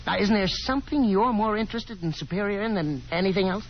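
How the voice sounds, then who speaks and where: A man's voice: high voice, quick pace. An actor playing a breezy, self-assured young man in a 1950s radio drama, hearty and folksy with small hesitations. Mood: confident, advising. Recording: muffled, noisy 1950s radio broadcast.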